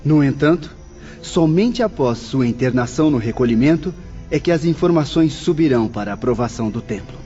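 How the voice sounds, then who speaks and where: A man's voice: medium pitch, animated, fairly quick, mellow, highs cut off, faint music behind, lightly acted. An actor playing a devout, steadfast male spirit counsellor in an audio drama, formal, earnest and encouraging. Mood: solemn, official.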